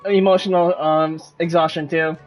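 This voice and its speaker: A woman's voice: sits low, level tone, moderate pace, faint music behind. A woman streaming a game, casually reading dialogue aloud and reacting as she plays.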